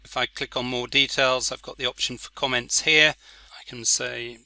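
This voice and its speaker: A man casually walking through a software interface, with frequent "uh" and "um" fillers. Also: mid-range voice, moderate pace, gravelly, no echo. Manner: relaxed, many "uh" and "um" fillers. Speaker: software demonstrator. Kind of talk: screen-recorded software walkthrough.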